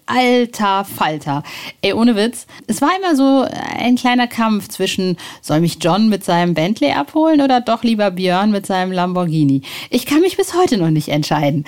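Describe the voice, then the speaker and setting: A woman in her forties hosting a podcast in a casual, chatty way, with colloquial, exclamatory phrasing. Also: mid-range voice. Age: 40s. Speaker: podcast host.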